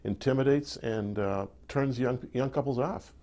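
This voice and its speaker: A man hosting an interview, asking questions in a halting way with many fillers. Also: mid-range voice, mellow. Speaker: interview host. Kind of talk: interview conversation.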